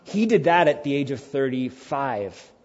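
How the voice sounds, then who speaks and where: A man's voice: medium pitch, sing-song, unhurried, a little mellow, lo-fi sound. A man in his forties preaching a sermon in an emphatic, informal, conversational way. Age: 40s. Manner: emphatic, informal, with rhetorical questions and acted-out quotes. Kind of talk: sermon with casual, conversational asides.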